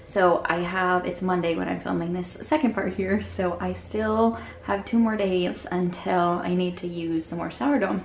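A woman's voice: on the low side, normal speed, few pauses, a little hiss or hum. Chatty woman vlogging in an echoey kitchen. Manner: relaxed, chatty, thinking aloud with fillers. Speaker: homemaking vlogger. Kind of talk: casual vlog chat to camera. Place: home kitchen, indoors. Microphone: handheld camera at arm's length. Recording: echoey kitchen with faint music.

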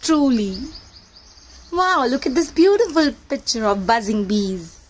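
A woman's voice: a little high, sing-song, measured pace. A woman narrating a children's read-aloud book in a teacherly manner, addressing young listeners directly.

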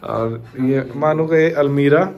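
A man's voice: mid-range voice, takes it slowly, highs cut off. A young man giving a casual, chatty house tour vlog, walking from room to room and talking to his viewers like friends. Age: young adult. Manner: chatty, addresses viewers as "bhai". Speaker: vlogger. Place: indoors in a family home, walking room to room. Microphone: handheld action camera.

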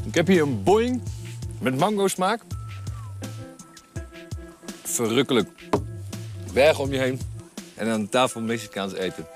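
A man in his thirties hosting a travel show outdoors, talking to camera in a relaxed, enthusiastic and chatty way. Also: medium pitch, a little slow, pauses often. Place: outdoors on a mountain road and in a tent. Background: background music.

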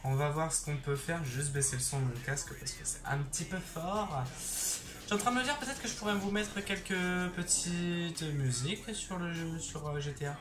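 A young man chatting casually over his gameplay on a headset mic, excitable and throwing in English exclamations. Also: on the high side, normal speed. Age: young adult. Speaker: gaming YouTuber. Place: bedroom. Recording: roomy sound with game music behind.

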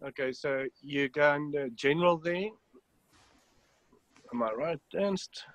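A man's voice: a little high, slow pace, a bit bright, no echo. Man walking through an app. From South Africa. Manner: explanatory, step-by-step with frequent fillers. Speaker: app developer giving a demonstration. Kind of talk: webinar walkthrough. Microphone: online call.

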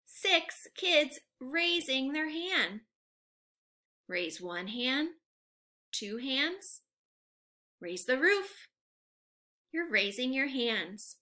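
A young woman reading aloud to small children like a teacher, asking simple questions in an animated, carefully enunciated way. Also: sits high, extremely slow, pauses often. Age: young adult. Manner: animated, exaggerated, enunciated for little learners. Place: living room at home, indoors.

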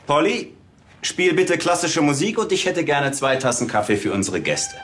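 A man's voice: fairly high pitch, big pitch swings, moderate pace, a bit bright, soft background music. A man playing a polite, unruffled doctor in a TV crime drama, speaking in a courteous, matter-of-fact way. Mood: calmly instructing. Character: polite, unruffled male doctor proud of his gadgets.

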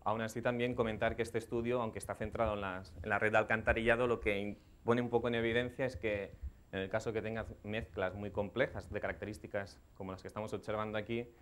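A man's voice: lowish voice, level tone, quick pace, a bit bright. A man in his thirties speaking at a conference lectern, answering audience questions in a technical way and often restarting his phrases. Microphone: headset mic.

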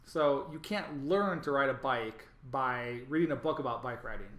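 A man's voice: mid-range voice, normal speed, a bit bright, echoey room. A man presenting an online training, explaining things over slides with frequent ums and restarts. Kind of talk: recorded lecture over slides.

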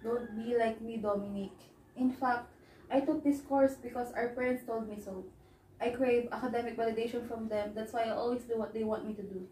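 A stressed, dutiful eldest sister, acted by a young woman in an amateur family melodrama. Her fairly high voice is soft-edged and earnest, picked up from far across the room. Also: fairly even intonation, fairly quick, slight background noise, lightly acted. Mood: reassuring. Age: young adult. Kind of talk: amateur one-act melodrama. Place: small living room, indoors. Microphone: far camera. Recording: echoey room, distant mic, background music.

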